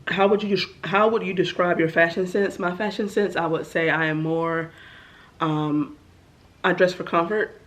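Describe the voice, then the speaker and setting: A woman's voice: lowish voice, steady tone, normal speed, a bit bright. A young woman, a bit stuffy from the flu, chatting casually through questions about herself with lots of ums. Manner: chatty, rambling, many ums. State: stuffy, getting over the flu. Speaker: vlogger. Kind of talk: casual get-to-know-me Q&A.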